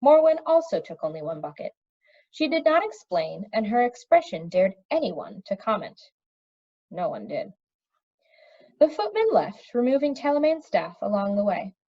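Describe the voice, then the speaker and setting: A woman reading a fantasy story aloud in an expressive storytelling manner. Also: mid-range voice, moderate pace, highs cut off. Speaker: audiobook reader. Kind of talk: reading a children's fantasy novel aloud with character voices.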